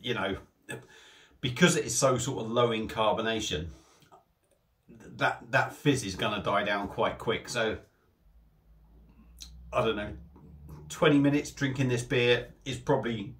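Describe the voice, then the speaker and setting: A man's voice: mid-range voice, fairly bright tone. Bloke in his 40s doing a chatty beer review at home, webcam sound, keeps restarting bits. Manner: chatty, repeats himself, restarts phrases. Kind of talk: casual beer review to camera. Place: small home room with shelves.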